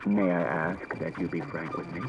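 A man voicing a calm, polite male robot who speaks in a quiet, measured, precise way. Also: sits low, pitched below the performer's own voice, natural voice. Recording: dull lo-fi with music behind.

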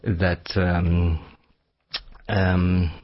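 A man in his forties who grew up in Madagascar with French as his first language, talking casually as a studio guest and often pausing to search for words. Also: low-pitched, level tone, highs cut off. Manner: rambling, searching for words, many fillers. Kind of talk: casual chat.

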